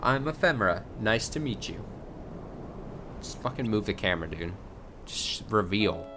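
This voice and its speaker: A man's voice: fairly low pitch, animated, normal speed, background noise. A man streaming live reaction commentary on game cutscenes, exasperated and sweary, often repeating his phrases. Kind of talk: live reaction commentary, reading subtitles aloud.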